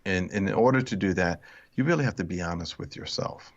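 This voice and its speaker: A man's voice: low voice, brisk, no echo, muffled recording. A man from the Philadelphia area being interviewed as an author, speaking reflectively with many restarts and hesitations. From Philadelphia area, United States. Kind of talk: casual interview chat.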